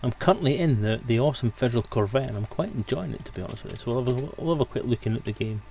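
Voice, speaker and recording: A man's voice: medium pitch, animated, very fast, vocal fry, darkish tone, slight background noise. A man hosting a live stream in casual, unscripted, rambling chat.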